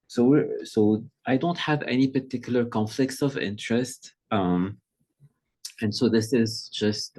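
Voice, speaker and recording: Middle-aged man from Lebanon, a pediatric specialist giving an easygoing talk on a video call, lowish voice, measured and thoughtful with frequent pauses. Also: a little mellow. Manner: measured, conversational, pauses often to find words. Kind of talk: informal presentation.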